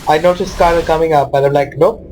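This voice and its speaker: A man's voice: fairly high pitch, steady tone, quick pace, a little mellow. A man giving casual commentary over his own video game match. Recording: noticeable background noise.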